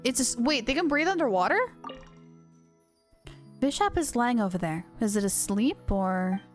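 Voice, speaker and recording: A woman's voice: fairly high pitch, animated, normal speed. A woman livestreamer giving casual commentary as she plays a video game. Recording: game music behind the voice. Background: video game music.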